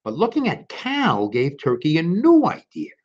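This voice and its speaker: A man's voice: fairly high pitch, wildly swooping pitch, moderate pace. A man reading a children's story aloud expressively, with casual asides directly to young listeners. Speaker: storytime reader. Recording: dull lo-fi recording.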